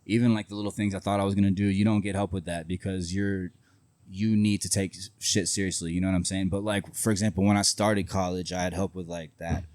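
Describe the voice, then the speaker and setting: A man with a very low voice talks bluntly and swears often, drifting through his thoughts with plenty of fillers. The sound is dry and close, with no room around it. He sounds like a guest in a loose, casual interview. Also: fairly even intonation, quick pace, a little mellow, no room sound. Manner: blunt, profane, rambling with many fillers. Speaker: independent wrestler, guest.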